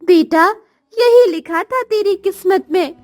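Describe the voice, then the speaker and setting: A woman's voice: extremely high pitch, a little slow, faint music behind. A woman voicing Mother Nature, a stern yet motherly goddess, in a cartoon moral story with admonishing, maternal authority. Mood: solemn, consoling. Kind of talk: dramatic Hindi cartoon story dialogue.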